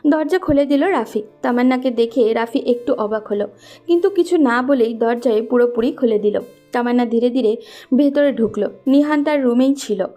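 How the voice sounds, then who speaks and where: Casting: female storyteller for romance audio, high but mellow voice, gentle music bed. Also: normal speed. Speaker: narrator. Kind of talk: scripted romantic story narration.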